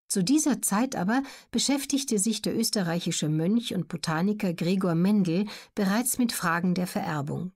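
A woman reading scripted documentary narration for an audiobook in a measured, explaining way. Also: mid-range voice, a little mellow.

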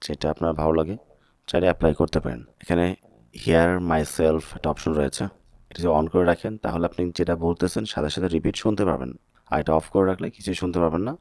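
A man voicing a devil through an AI voice changer while explaining software options. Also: fairly even intonation, fast, no echo. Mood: matter-of-fact. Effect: pitch-lowering AI voice changer. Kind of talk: software demonstration.

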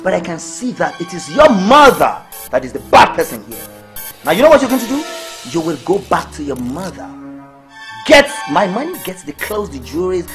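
A domineering rich man voiced by a man with a Nigerian accent, scolding and threatening. His pitch swings widely as he berates, with a little music under the scene. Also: a bit bright. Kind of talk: Nollywood drama acting.

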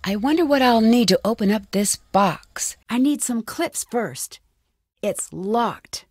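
A woman playing a plucky, quick-witted young female detective in a video game, thinking aloud in clearly spoken one-liners. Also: on the high side, expressive, no room sound, studio quality. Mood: focused, musing. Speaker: game protagonist.

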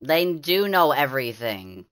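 Squeaky, bright-voiced teen boy streamer. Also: measured pace. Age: teens. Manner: excitable, bickering with friends. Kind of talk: casual gaming chat with friends.

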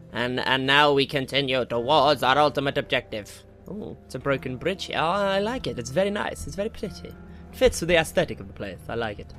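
A man's voice: a little high, a bit bright. Man doing casual gaming commentary over music. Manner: jokey slangy asides, talking to himself while playing. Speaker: gaming video host. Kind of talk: casual Let's Play commentary. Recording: game music behind the voice. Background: video game music.

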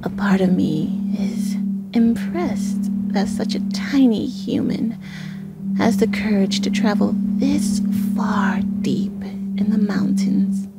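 Woman acting as female snake monster, very slow drawn-out pace, half-whispered, predatory, teasing, condescending, ASMR roleplay, prominent music behind. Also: mid-range voice, vocal fry. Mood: smug, teasing. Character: possessive, menacing female naga, predatory and condescending.

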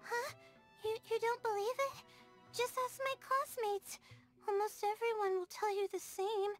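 A sweet, timid young student who sounds short on sleep and full of self-doubt. Her voice is very high and girlish, and she speaks slowly and hesitantly, with small stammers. It is game dialogue with music clearly playing behind it. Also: fairly even intonation. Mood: defensive. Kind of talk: video game character dialogue. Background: soft game music.

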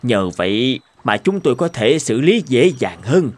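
A man dubbing a helpful, plain-spoken male waste plant worker in a cartoon, unhurried and matter-of-fact. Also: mid-range voice, big pitch swings.

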